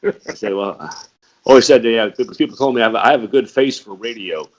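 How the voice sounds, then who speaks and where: A man in his seventies with a hoarse, cold-roughened voice, hosting an interview in a halting, hesitant way. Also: mid-range voice. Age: 70s. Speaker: host, retired pastor. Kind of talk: casual interview chat. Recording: muffled lo-fi audio.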